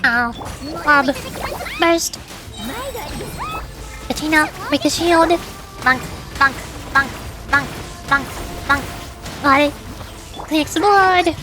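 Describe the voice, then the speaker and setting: A woman doing casual livestream commentary on a game, chatty and playful as she talks to her viewers. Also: extremely high pitch. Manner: chatty, reacting to chat and playfully calling out moves. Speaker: VTuber streamer playing a game.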